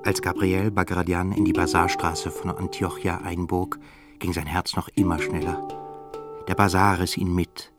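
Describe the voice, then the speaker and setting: A man giving measured, grave literary narration in a radio drama. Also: low voice, little pitch movement, music in the background.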